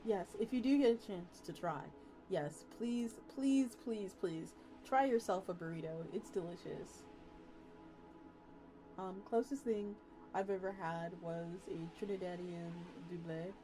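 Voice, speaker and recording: A woman's voice: lowish voice, unhurried, over music. A woman hosting a live stream, chatting casually with her viewers. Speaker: VTuber streamer, host. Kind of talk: live stream reading a story aloud, casual chat with viewers.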